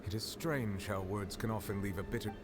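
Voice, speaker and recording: An actor playing a composed, formal judge and dragon in a video game, speaking in a measured, solemn way. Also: male voice, on the low side, lightly acted. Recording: game audio under loud music.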